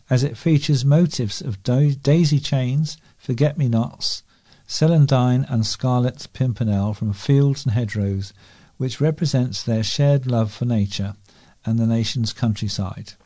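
A man's voice: medium pitch, unhurried, dark tone. A man reading scripted local news as a volunteer newsreader.

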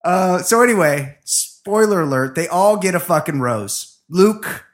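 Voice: mid-range voice, expressive, a little slow. A podcast co-host chatting casually, joking in a crude, blunt way.

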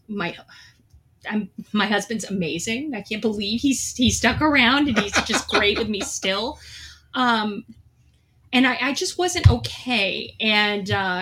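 A woman's voice: mid-range voice, a bit bright, low-quality recording. A middle-aged woman telling her own story in an earnest, reflective way, with a few light laughs. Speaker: guest, veteran. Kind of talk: candid personal storytelling.

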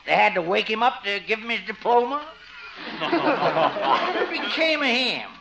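An actor playing a jolly, doting small-town veterinarian who fusses and stammers in 1950s radio comedy style. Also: male voice, high voice, animated, measured pace, vocal fry, over a noisy crowd, exaggerated voice. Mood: chatty, eager. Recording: muffled vintage radio recording.

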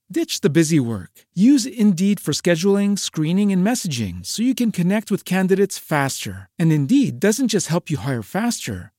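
Lively, dry-sounding male ad reader. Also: a little high, no room sound. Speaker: advertisement announcer. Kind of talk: scripted ad narration.

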